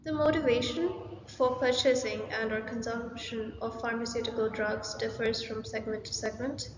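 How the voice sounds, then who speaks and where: A woman's voice: a little high, normal speed, muffled recording, noisy background. Young woman reading slides in an echoey room. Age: young adult. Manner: even, read-aloud delivery with list-like pacing. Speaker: student presenter. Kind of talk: scripted presentation narration.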